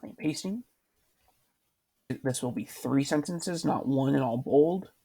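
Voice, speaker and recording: A male teacher talks through a writing lesson in a calm, middle-pitched voice with a gravelly edge. He speaks patiently into his computer mic and keeps asking "why" to draw answers from his students. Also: unhurried. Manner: patient, repeats questions to prompt students. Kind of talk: screen-recorded writing lesson.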